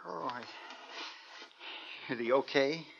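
A man's voice: sits high, sing-song, normal speed. An actor playing an irritable, accusing young husband and father who is easily wounded, in a naturalistic domestic drama. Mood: quiet, contrite. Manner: testy, accusing. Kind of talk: naturalistic dramatic acting of a marital quarrel. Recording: noisy, dull lo-fi old recording.

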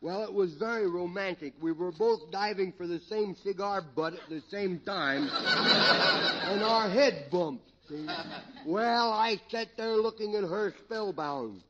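Casting: foolish, amiable drunk for vintage radio comedy, high drawling slurred voice, muffled and very noisy recording. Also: male voice, measured pace. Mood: tipsy, rambling. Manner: rambling, drawling, chuckling to himself. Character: tipsy, foolish, good-natured drunkard man. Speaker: comic interviewee. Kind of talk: old-time radio comedy sketch.

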